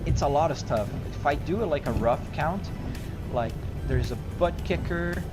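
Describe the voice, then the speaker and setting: Brazilian-accented man streaming over loud music. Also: on the high side, expressive, moderate pace. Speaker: live streamer playing a truck simulator. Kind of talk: casual live-stream chat. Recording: dull audio under loud music.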